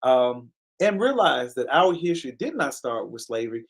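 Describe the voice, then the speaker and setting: A man's voice: medium pitch, sing-song. Earnest man hosting a live talk show. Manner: earnest, preacher-like cadence with repeated phrases; pauses to give viewers shout-outs. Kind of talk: casual live-stream commentary.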